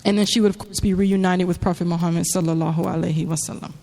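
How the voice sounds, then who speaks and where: A woman giving a semi-prepared religious talk in a halting, reflective way, telling a story with frequent pauses and fillers. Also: on the low side, fairly even intonation, vocal fry, dark tone. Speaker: speaker giving a religious address. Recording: long hall reverb.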